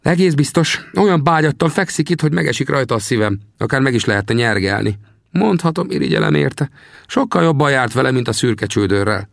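A man's voice: medium pitch, lively intonation, vocal fry, no echo, studio quality, voice pushed up. A man voicing a wily, chuckling little old male frontier trapper who talks in a teasing, folksy, boastful way. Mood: mocking. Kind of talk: audiobook character voice.

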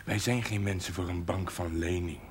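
A proud family man played by an actor with a deep, reassuring voice. It is television drama, with faint noise in the old soundtrack. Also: steady tone, normal speed, natural voice. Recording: noisy 1970s television soundtrack.

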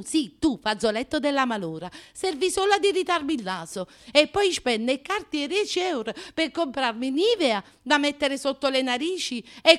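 A vain, wounded Neapolitan woman in a comic radio play. Her voice sits very high and carries a Neapolitan accent. She confides in the listener with passionate, self-mocking theatricality and colloquial, emphatic phrasing. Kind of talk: radio drama comic monologue.

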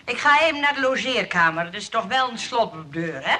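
An actress playing a bossy, easily hurt, resolute nurse in a radio play, speaking briskly and firmly. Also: female voice, on the high side, expressive, bright, forceful tone, far from the mic. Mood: evasive, brisk. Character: bossy, hurt, resolute nurse who runs a rest home.

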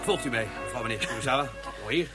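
A man's voice: mid-range voice, expressive, quick pace, lightly acted. An actor playing a pompous male police inspector in a radio play, ceremonious in manner. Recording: loud music under the voice.

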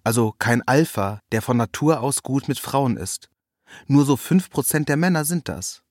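Male reader as a convinced, instructive dating devotee in his thirties or older, mid pitch, story dialogue, dry sound. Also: expressive, moderate pace, no room sound, close to an everyday voice. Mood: lecturing. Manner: lecturing, matter-of-fact, peppered with English jargon. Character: man over thirty, self-assured dating-coaching devotee, instructive. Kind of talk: quoted dialogue in a read story.

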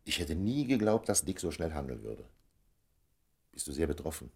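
A male actor in a German radio play playing a courteous, reserved, evasive friend, speaking in a measured way with a gravelly, husky voice. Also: a bass voice, big pitch swings, a little mellow, heavily acted. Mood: defensive, puzzled.

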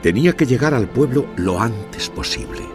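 A man narrating a children's story in an expressive, theatrical scripted style. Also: mid-range voice, normal speed, over music.

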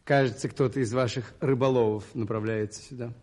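Radio play: male actor as soft-toned, husky priest, polite, measured, quietly persistent, compassionate. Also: mid-range voice, steady tone, close to an everyday voice. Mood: quietly curious. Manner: polite, measured, gently insistent. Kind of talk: radio play acting and first-person narration in Russian.

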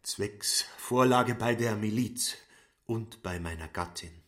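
An actor playing a stiff, formal petty gentleman in a radio drama, speaking in stilted officialese. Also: on the low side, slow pace. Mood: stiffly insistent.

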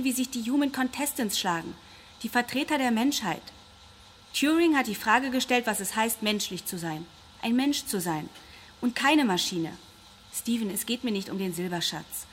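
Musing, higher-pitched actress as a woman researcher. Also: a little hiss or hum, lightly acted. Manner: musing, tender, talking to herself and pets. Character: absorbed, determined woman researcher doting on her tiny nanite creatures. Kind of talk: radio drama acting.

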